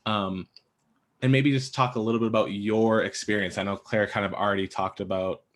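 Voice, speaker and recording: A man's voice: on the low side, fairly even intonation, fairly quick. A man hosting an author panel in a casual, unscripted way, rambling conversationally as he goes. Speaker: host and moderator of author panel. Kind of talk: casual, unscripted event moderating.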